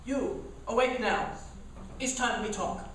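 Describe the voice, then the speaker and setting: A woman's voice: fairly high pitch, animated, slow. A woman playing a proud, solemn frontier healer who speaks in stilted formal phrases in a comic Western radio play. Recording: echoey hall, distant mic, faint noise.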